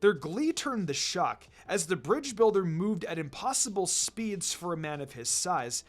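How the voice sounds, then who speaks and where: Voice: medium pitch, sing-song, a little slow. A narrator reading fan fiction aloud as scripted storytelling.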